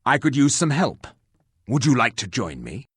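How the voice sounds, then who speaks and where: Locke, a raspy, stern undead hero. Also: male voice, mid-range voice, very animated, normal speed, fairly bright tone, no room sound, clean studio sound, full character voice. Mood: stern, terse. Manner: stiff, formal speech without contractions. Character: stern, sardonic revenant warrior hero. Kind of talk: fantasy game character acting.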